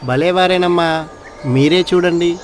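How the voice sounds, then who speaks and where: A man's voice: sits high, measured pace, soft background music. A man voicing an eager, long-suffering street vegetable seller in a cartoon story. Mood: put-upon.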